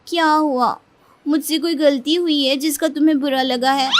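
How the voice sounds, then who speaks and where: A woman's voice: high-pitched, steady tone, normal speed, noisy background, natural voice. A woman voicing a sweet, pleading young village wife in a cartoon story, coaxing and plaintive. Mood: worried. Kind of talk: Hindi cartoon story voice acting. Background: music.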